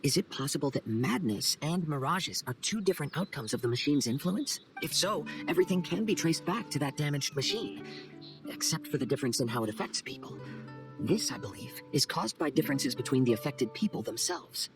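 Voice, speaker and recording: A calm young male wanderer in a video game, slightly high in pitch. He speaks gently and thoughtfully in measured phrases, with music clearly behind him. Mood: calm, pondering.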